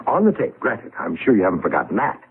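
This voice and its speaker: An actor playing a disillusioned, sardonic older business partner in 1970s radio drama, scornful and emphatic. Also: male voice, low voice, wildly swooping pitch, quick pace, breathy edge, full character voice. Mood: accusing. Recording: muffled vintage radio broadcast.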